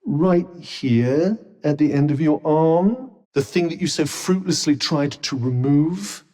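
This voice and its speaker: A man's voice: on the high side, slow pace, dark tone, big-room sound. A man with a British accent playing a sardonic, pompous magical talking vambrace in a video game, speaking in formal, wordy phrases with dry wit. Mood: indignant. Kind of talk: video game character dialogue.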